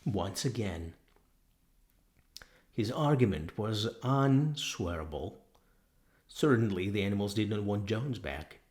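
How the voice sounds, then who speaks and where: Casting: male audiobook narrator, lower-pitched, lively intonation, dramatic reading of literary fiction. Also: slow pace, pauses often. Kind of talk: dramatic scripted narration of a novel.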